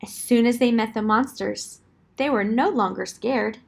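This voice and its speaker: Female storybook narrator, fairly high pitch, husky edge, deliberate and expressive delivery for children.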